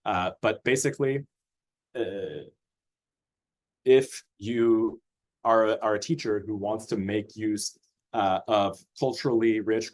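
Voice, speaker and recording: A man who grew up in Austria presents a school curriculum over a video call, talking in a friendly way with frequent fillers and restarts. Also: lowish voice. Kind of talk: webinar presentation.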